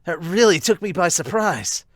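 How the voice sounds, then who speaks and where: Excitable young male fan played by a man, bright-eyed admiration, chatty anime delivery, pitched up. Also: moderate pace, no echo, studio quality. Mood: excited, gushing. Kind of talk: anime manga fan dub.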